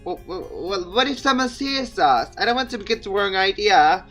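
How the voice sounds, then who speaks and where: Man acting a teenage visual novel girl, teasing, exclamatory, raised lively voice, light music behind. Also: high-pitched, moderate pace, voice pushed up. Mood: flustered. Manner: casual, exclamatory, peppered with "like".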